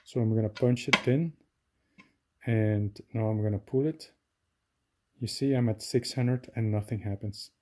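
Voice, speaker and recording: A man giving a casual hands-on demonstration at a table, talking haltingly with many fillers and self-corrections. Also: lowish voice, fairly even intonation. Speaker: DIY video maker. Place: indoors at a table.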